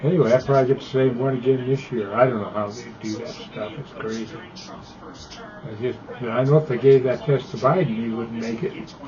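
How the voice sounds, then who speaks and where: A man's voice: mid-range voice, fairly even intonation, moderate pace, a crowd in the background. An American man in his eighties chatting casually as an amateur radio operator, with a blunt, opinionated, rambling manner. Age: 80s. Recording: dull lo-fi ham radio audio.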